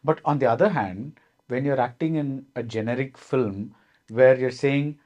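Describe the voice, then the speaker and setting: A man's voice: medium pitch, moderate pace, muffled recording. A man hosting an interview, asking playful questions and laughing along with his guests. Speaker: interviewer, host.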